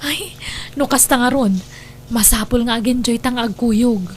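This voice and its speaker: A woman's voice: on the high side, a little slow, slight background noise, natural voice. A woman with an Ilocano accent playing a lonely, resentful young wife in an audio drama, plaintive and evasive. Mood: wistful.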